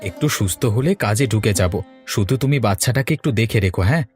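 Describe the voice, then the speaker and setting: A man's voice: lowish voice, animated, fairly quick, over music, lightly acted. A man voicing an ailing, loving young village father in a cartoon, speaking earnestly. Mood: earnest, hopeful. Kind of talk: Bengali moral-story cartoon dubbing.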